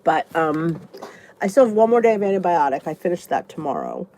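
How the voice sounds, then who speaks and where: Congested woman with a lively, animated voice. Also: on the low side, fairly quick. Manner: chatty and rambling, thinks aloud. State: congested, stuffy nose. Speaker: vlogger. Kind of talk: casual live chat answering viewer comments.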